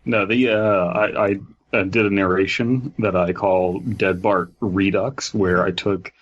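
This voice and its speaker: A man's voice: low-pitched, fairly even intonation, a little slow, dull audio. A man co-hosting a casual podcast, rambling with lots of fillers. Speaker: podcast co-host.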